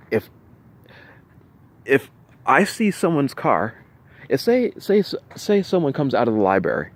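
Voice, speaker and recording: A man venting in an unscripted video monologue, halting and repeating himself, with insistent emphasis. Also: lowish voice, a little mellow. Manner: halting, repetitive, with restarted phrases. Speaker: vlogger recounting personal experiences.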